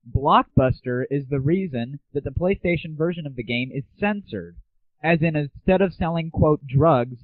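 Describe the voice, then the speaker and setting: American man, tinny lo-fi recording. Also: dry recording. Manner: irreverent, slangy, swearing. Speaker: host of an audio show. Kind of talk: casual opinionated monologue. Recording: muffled, tinny low-fidelity 1990s internet audio.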